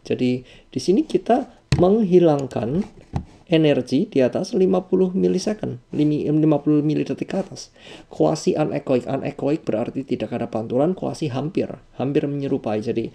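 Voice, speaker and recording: A man with an Indonesian accent narrating an explanatory software tutorial as an instructor, hesitating and rephrasing key terms as he goes. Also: medium pitch, gravelly. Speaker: audio engineering instructor.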